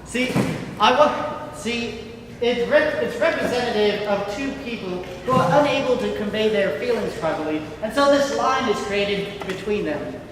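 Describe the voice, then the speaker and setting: Eccentric artist acted on stage, mid-pitched, formal quirky manner, long hall reverb, amateur play. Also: lively intonation, normal speed, lightly acted. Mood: earnest. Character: eccentric, high-minded young painter, cheeky cousin. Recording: echoey hall, distant mic, noisy.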